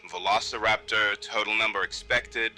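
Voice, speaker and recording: A man's voice: fairly low pitch, fairly even intonation, moderate pace, sharp tone, faint music behind, poor audio. A man playing a matter-of-fact park control room technician in a horror audio drama, clipped and procedural.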